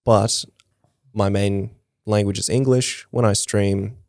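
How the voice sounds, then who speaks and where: A man's voice: on the low side, fairly even intonation, takes it slowly, creaky, darkish tone, no room sound, clean studio sound. A man co-hosting a casual podcast, telling anecdotes in a laid-back way with casual swearing. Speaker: podcast co-host. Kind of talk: casual chat.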